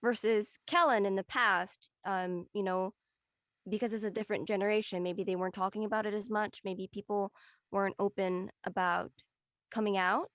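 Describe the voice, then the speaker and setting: A woman's voice: medium pitch, fairly even intonation, moderate pace, no room sound, clean studio sound. A woman in a casual, reflective panel discussion, talking in a tentative, rambling way with many filler phrases. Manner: tentative, rambling, peppered with "you know".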